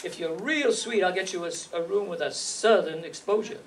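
Hardboiled radio gumshoe, played by a man: sardonic wisecracks in a high voice, distant hall mic with faint noise. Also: moderate pace. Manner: wry, sardonic. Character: wisecracking, streetwise male hardboiled radio detective. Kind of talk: 1950s radio noir acting and narration. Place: live stage reading in a meeting hall.

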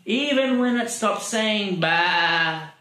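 Theatrical man reading slowly, big pitch swings. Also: lively intonation, pushed and bright. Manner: theatrical, mock-solemn. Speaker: poetry reader. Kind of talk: dramatic comic poetry reading. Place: living room by a fireplace. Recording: roomy camera sound.

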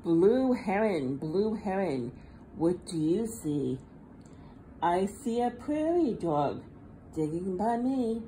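Slow, sing-song woman reading a picture book. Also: mid-range voice, sing-song, warm tone, rough recording. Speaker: children's storybook reader. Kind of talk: read-aloud picture book for young children. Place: indoors at a table. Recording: faint background room noise.

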